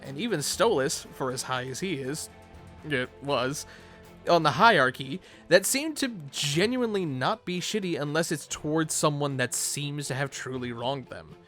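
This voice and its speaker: Male narrator for a fan theory video, lively swinging intonation, slightly high voice, informal and playful, light music bed. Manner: playful, conversational asides. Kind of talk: animated, informal video essay commentary.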